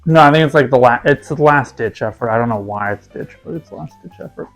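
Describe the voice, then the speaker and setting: A young man, the host's boyfriend, joking around in casual banter, playful and quick to correct her. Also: mid-range voice, faint music behind. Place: home indoors.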